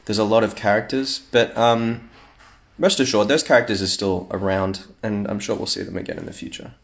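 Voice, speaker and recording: A man's voice: fairly low pitch, fairly even intonation, brisk, vocal fry. A young man chatting casually on a phone livestream at home, reading fan comments aloud with playful asides.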